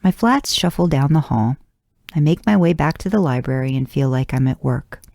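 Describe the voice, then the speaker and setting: A woman narrates a story in the first person. Her voice sits low and mellow, with a creaky edge to it. The sound is dry, with very little room. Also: lively intonation, moderate pace, no echo. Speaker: podcast host reading fan fiction aloud.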